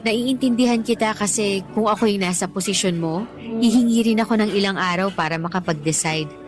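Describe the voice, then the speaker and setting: A woman's voice: medium pitch, brisk, natural voice. A woman acting as a candid, talkative teacher and single mother on a date in a Tagalog radio drama. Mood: light, reassuring. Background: background music.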